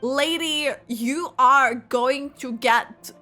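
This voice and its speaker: A woman giving casual live reactions to a video game, excitable and exclamatory, stretching out words, with game music behind. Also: sits high, very slow.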